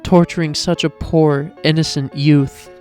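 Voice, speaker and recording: Man playing a soft, higher-voiced motherly goat guardian. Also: flat delivery, a little slow, natural voice. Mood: indignant. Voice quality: soft, matronly. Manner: genteel, proper, fond. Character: tall motherly female goat guardian, gentle and teasing. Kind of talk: fan fiction character acting. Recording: music behind the voice.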